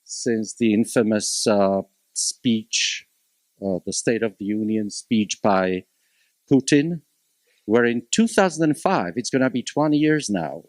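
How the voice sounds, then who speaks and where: A man in his fifties from Slovakia with a Slovak accent, speaking as a panelist at a public policy forum, measured and emphatic with frequent hesitations. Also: fairly low pitch, expressive, darkish tone, dry recording. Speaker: panelist from a local college.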